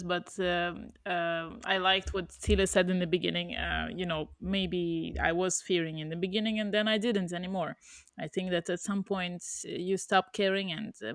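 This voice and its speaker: A woman's voice: lowish voice, vocal fry, dry recording, studio quality. A woman in her thirties, a native Russian speaker, musing casually as a podcast co-host, with many fillers and restarts. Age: 30s. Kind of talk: casual chat.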